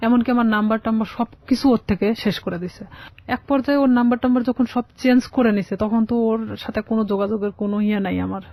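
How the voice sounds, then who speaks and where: Fast-talking Bangladeshi woman, dull sound. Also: on the high side, dry recording. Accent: Bangladeshi Bengali. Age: young adult. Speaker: guest telling their life story. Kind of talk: personal storytelling in a radio interview.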